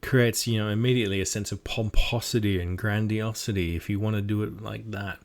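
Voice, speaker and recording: A man's voice: fairly low pitch, fairly even intonation, a little fast. A man giving a casual tutorial on how to perform characters as a game master. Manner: demonstrative, switches between explanation and voices. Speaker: game master teaching technique.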